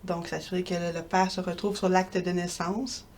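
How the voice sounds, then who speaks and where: Québécois woman researcher, calm and articulate. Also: on the low side, normal speed, a little hiss or hum. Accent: Québécois French. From Quebec. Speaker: researcher interviewed as guest. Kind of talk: explanatory interview answers.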